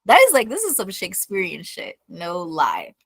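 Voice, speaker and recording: A woman's voice: lowish voice, huge pitch swings, moderate pace, gravelly, a bit bright, husky, no room sound. A young woman co-hosting a podcast in casual chat, laughing easily and swearing casually. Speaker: podcast co-host.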